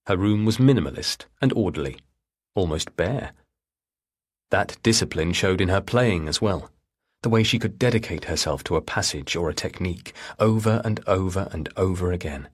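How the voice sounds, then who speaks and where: A man narrating a thriller novel with a husky voice and measured, deliberate phrasing. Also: deep voice. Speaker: audiobook narrator.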